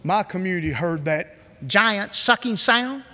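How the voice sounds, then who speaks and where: A man's voice: high-pitched, animated, sharp tone. Earnest man in a reverberant hall. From North Carolina. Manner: earnest, measured, emphatic repetition. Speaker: speaker. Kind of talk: prepared speech.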